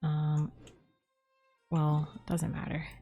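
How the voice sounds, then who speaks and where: Female game streamer: lowish mellow voice, unhurried, casual and playful, faint music behind. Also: completely flat delivery, lo-fi sound. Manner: rambling, playful. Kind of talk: casual live gameplay commentary.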